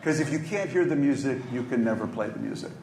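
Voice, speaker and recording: A man's voice: mid-range voice, animated, quick pace, vocal fry, a little mellow. A man from Minneapolis, a journalist, giving thoughtful remarks on a conference panel, with frequent ums and restarts. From Minneapolis, USA. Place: hotel conference room. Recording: echoey conference room, faint noise.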